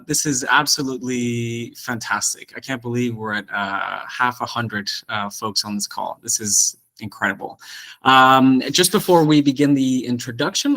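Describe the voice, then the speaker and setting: A man's voice: medium pitch, a bit bright. A man from Mexico City hosting an online discussion, welcoming participants and introducing a speaker in effusive, enthusiastic wording. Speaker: host. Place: video call.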